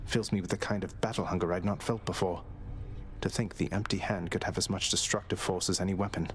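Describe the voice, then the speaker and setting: An actor playing a young, courteous prince of the underworld in a video game, dry and sardonic. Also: male voice, deep voice, fairly even intonation, fast talker. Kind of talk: scripted video game dialogue.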